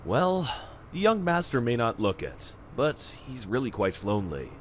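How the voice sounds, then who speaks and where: A man's voice: mid-range voice, sing-song, measured pace, slight background noise. A man acting a solicitous, formal minder to a young prince, speaking politely in a fan radio play. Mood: solicitous, grave.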